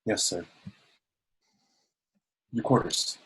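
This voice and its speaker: A man's voice: drawn-out words, fairly bright tone. A man playing a humble, earnest young alien escort in a formal, deferential way, in an amateur sci-fi table read. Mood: flustered, deferential.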